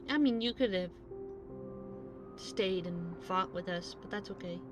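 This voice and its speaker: A woman's voice: lowish voice, a little slow, over music. A woman streaming a game with casual commentary, giving short offhand reactions and reading choices aloud.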